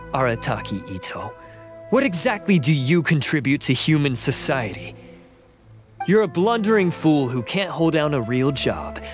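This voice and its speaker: A man voicing a bitter, defiant young oni outcast turned thief in a video game, scornful and intense. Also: a little high.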